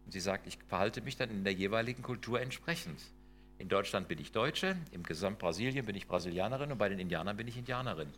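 Emphatic lecturing man with a low voice. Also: animated, fast, a bit bright, a little hiss or hum. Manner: emphatic, rhetorical questions, tag questions like "ne?" and "ja?". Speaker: lecturer on intercultural work. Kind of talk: lecture with slides.

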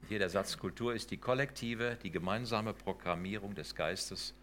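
A middle-aged man lectures from slides in a lowish voice, pressing his points with rhetorical questions. He tags his sentences with quick checks to the audience. A faint hum sits under the recording. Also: a bit bright. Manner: emphatic, rhetorical questions, tag questions like "ne?" and "ja?". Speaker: lecturer on intercultural work.

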